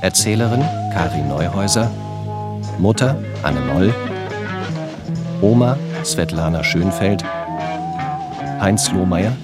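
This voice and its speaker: A male announcer reads the credits of a radio play in a scripted manner. His voice is very low, with loud guitar music behind it. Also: expressive, slow pace, pauses often.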